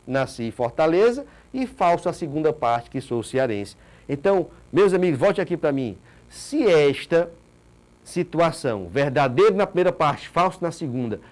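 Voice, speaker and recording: A lively male teacher in his thirties speaks from a studio set, his fairly high voice rising and falling as he asks rhetorical questions. He hammers key points home by repeating them, a lapel mic keeping him close and clean. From Ceará, Brazil. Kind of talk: recorded online exam-prep lecture.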